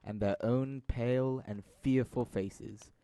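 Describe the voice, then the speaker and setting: A man's voice: mid-range voice, steady tone, takes it slowly, mellow. A young man reading scripted dramatic narration of a classic tale, as narrator of an audio drama. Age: young adult.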